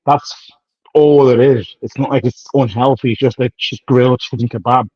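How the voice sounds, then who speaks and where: A man's voice: medium pitch, fairly even intonation, moderate pace, no room sound, highs cut off. A man in his thirties, a professional bodybuilder, chatting casually in an interview, rambling in slang and acting out past conversations. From United Kingdom. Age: 30s. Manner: rambling, slangy, acts out quoted dialogue.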